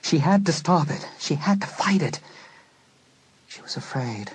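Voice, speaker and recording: A man narrating a suspense story in a dramatic, scripted style, letting phrases drop to a hush. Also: on the high side, lively intonation, a little slow, a little hiss or hum, poor audio. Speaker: audiobook narrator.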